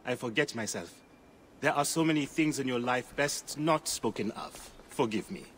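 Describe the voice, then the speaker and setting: An actor playing a genial middle-aged temple priest, courteous and effusive. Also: male voice, mid-range voice, moderate pace, a little hiss or hum, close to an everyday voice.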